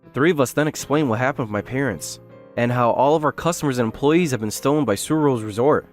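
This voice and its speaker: A man's voice: mid-range voice, fast, close to an everyday voice. A man playing an earnest, polite young hotel owner in a manga dub, both narrating and speaking in character. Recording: background music under the voice.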